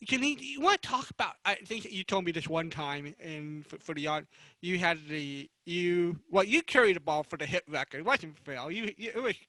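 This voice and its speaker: A man moderating a casual convention panel interview, rephrasing his questions in a halting way as he goes. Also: high-pitched, expressive, bright, forceful tone, no echo, poor audio.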